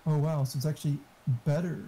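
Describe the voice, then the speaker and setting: A man's voice: a little high, level tone, quick pace, dark tone, slight background noise. A man chatting casually and giving tech advice, swearing freely as he goes. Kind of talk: casual chat.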